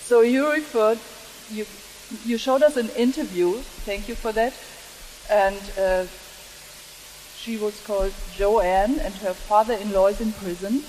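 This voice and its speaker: Female audience questioner, native German speaker with German accent, hesitant and apologetic, slow delivery, hissy sound. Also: medium pitch, a little mellow. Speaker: audience member asking a question. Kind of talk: halting question from the floor. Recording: hissy, echoing conference hall.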